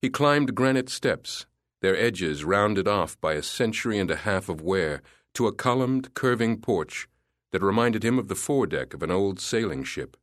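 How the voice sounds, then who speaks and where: Low-voiced male audiobook narrator. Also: lively intonation, fairly bright tone, dry recording, studio quality. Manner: measured, descriptive storytelling. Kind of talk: scripted literary narration.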